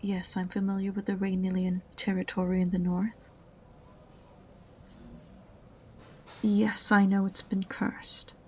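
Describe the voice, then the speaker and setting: A woman playing an unenthusiastic, sarcastic witch librarian in an audio roleplay, speaking softly and breathily with a condescending, exasperated manner. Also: medium pitch, little pitch movement, normal speed, slight background noise, natural voice. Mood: grudgingly intrigued. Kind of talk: audio roleplay acting addressed to the listener.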